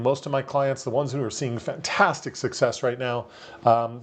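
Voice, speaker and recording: A man with a deep radio-type voice, speaking as a marketing coach in a casual, persuasive talk to camera, full of fillers. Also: a little mellow. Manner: upbeat, persuasive, many fillers. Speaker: marketing coach for real estate agents.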